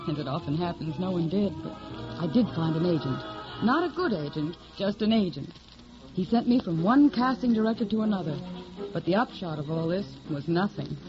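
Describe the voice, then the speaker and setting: An actress playing a plucky, stubborn young singer in an old radio drama, earnest and confiding with a wry edge. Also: female voice, medium pitch, lightly acted. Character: plucky, stubborn young woman singer seeking showbiz work. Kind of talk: 1940s radio drama acting and narration. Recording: muffled old radio broadcast, music behind.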